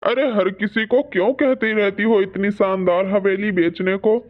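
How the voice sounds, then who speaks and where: A man's voice: high-pitched, steady tone, normal speed, lightly acted. Cartoon pigeon father, voiced by a man: admonishing yet reassuring husband, muffled lo-fi recording. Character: patient male pigeon husband and father, head of household. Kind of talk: Hindi cartoon story dubbing.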